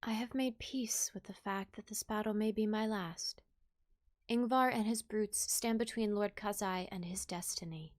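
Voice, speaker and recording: A resolute warrior woman voiced by an actress speaks with fierce loyalty at a medium pitch. She is dramatic in the manner of a fantasy audio play. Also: steady tone, moderate pace, lightly acted. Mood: grim, determined.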